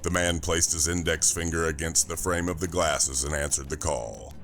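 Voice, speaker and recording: Extremely deep, gravelly male audiobook narrator. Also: steady tone, moderate pace, fairly bright tone, soft background music. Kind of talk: scripted science fiction thriller narration.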